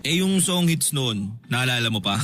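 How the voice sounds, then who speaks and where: A man's voice: medium pitch, sing-song, moderate pace, fairly bright tone, a little hiss or hum. A man playing an easygoing coworker in a radio drama, teasing his friend casually with a Filipino accent. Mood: nostalgic, amused. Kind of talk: conversational radio drama acting.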